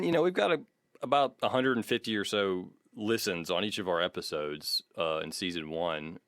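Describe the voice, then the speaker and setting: A man's voice: sits low, a bit bright, clear, resonant tone, no room sound, clean studio sound. A man co-hosting a podcast in a casual, chatty, self-deprecating way, explaining things in an informal, rambling style. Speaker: podcast co-host.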